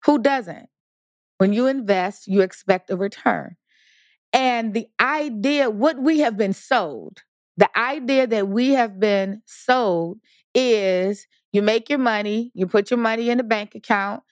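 A woman's voice: on the high side, a little slow, dry recording, studio quality. A middle-aged woman hosting a motivational podcast, talking emphatically and asking her listeners rhetorical questions. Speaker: podcast host.

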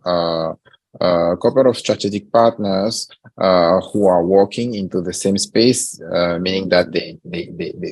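A startup founder talking through his company in a podcast interview, pausing often with fillers. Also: deep voice, darkish tone, breathy edge, rough recording. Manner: measured, frequent "uh" fillers.

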